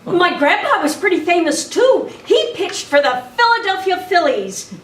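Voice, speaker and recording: Far off in an echoey room, a woman plays a starstruck little boy with a very high, swooping voice. He is eager, polite and folksy, as in an old radio western. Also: very animated, moderate pace. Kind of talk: amateur old-time radio western re-enactment. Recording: echoey meeting room, distant mic.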